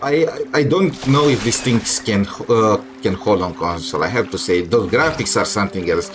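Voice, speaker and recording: Low-voiced man streaming a game. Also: noisy background. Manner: halting, reacting in short bursts. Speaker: game livestreamer. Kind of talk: casual live gameplay commentary. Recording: game music behind the voice. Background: video game music.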